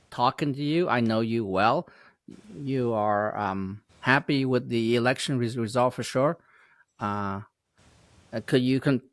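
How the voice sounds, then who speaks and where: A man's voice: mid-range voice, no room sound. Guy hosting an interview, trips over words, fixes himself mid-sentence, dry close audio. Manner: stumbling, with self-corrections and uneven pace. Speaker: host. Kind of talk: casual interview.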